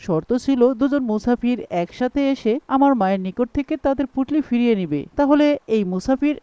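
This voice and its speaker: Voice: medium pitch, brisk, mellow, smooth, no room sound, muffled recording, natural voice. A performer voicing a clever, confident young boy in a cartoon, speaking with assured reasoning. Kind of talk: cartoon voice acting.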